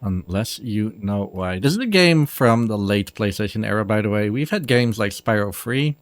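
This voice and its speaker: Deepish-voiced man commentating a speedrun. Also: animated, normal speed, dry recording. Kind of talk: casual live speedrun commentary.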